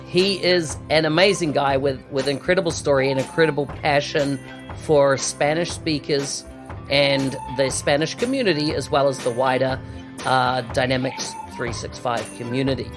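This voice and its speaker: A man hosts an interview podcast at mid pitch, friendly and conversational, trailing into fillers now and then. Faint music plays behind his voice. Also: steady tone, moderate pace. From New Zealand. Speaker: podcast host.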